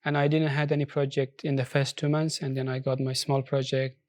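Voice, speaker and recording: A man from Afghanistan, Persian his first language, talks through his story in an earnest, halting English that often stops and restarts. His voice sits at an ordinary middle pitch, and the studio sound is dry and close. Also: little pitch movement, no echo. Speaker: social enterprise founder. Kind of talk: studio interview.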